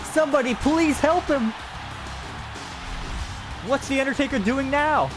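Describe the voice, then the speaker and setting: Man putting on a high, frantic wrestling announcer. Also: a little slow, music in the background. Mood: frantic, horrified. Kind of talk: wrestling play-by-play shouting.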